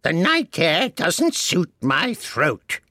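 Slow, fussy old magpie. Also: female voice, fairly low pitch, sing-song, sharp tone, no echo, studio quality, voice pushed up, exaggerated voice. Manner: fussy, drawn-out. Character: fussy old magpie wrapping up against night air.

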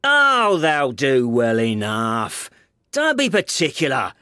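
A narrator voicing bossy, excitable storybook animals such as a male rabbit, giving orders in rustic exclamations. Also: mid-range voice, slow, clear, resonant tone, dry recording, studio quality, voice pushed up, heavily acted. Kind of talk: storybook character voice.

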